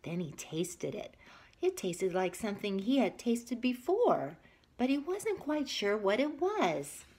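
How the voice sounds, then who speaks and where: Woman, children's story reader, unhurried pace, lively swooping pitch, slightly husky, teacherly. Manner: expressive, pausing to ask listeners questions. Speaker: teacher reading aloud to young children. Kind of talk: children's picture-book read-aloud with asides to young listeners.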